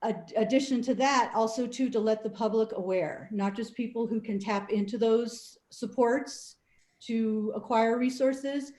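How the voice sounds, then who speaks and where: A middle-aged woman whose first language is Spanish, speaking as a council member in a measured, explanatory way and pausing to find her words. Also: mid-range voice, a little mellow. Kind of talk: council meeting remarks. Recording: echoey room sound.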